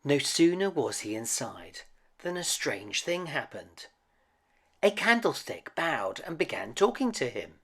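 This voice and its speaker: A man narrates a children's storybook in an expressive, dramatic way. He takes it very slowly, with a gravelly creak in the voice, swelling for emphasis and dropping to a hush. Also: on the high side. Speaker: storyteller reading a picture book aloud.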